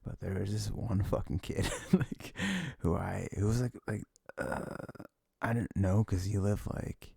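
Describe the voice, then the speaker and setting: Gravelly, low-voiced young man in intimate ASMR. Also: fairly even intonation, no echo, studio quality. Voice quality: low, gravelly, breathy, often dropping near a whisper. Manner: rambling, halting, frequent "like", soft chuckles. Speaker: ASMR audio creator playing a comforting boyfriend. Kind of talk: intimate ASMR, casual unscripted rambling.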